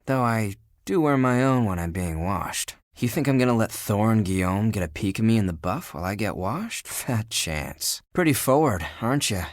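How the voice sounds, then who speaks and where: A man voicing a flirty, laid-back but insecure living pile of laundry in a dating video game, talking in a casual, teasing, breathy way. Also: on the low side, expressive, moderate pace, few pauses, dry recording, studio quality, close to an everyday voice. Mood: playful, teasing.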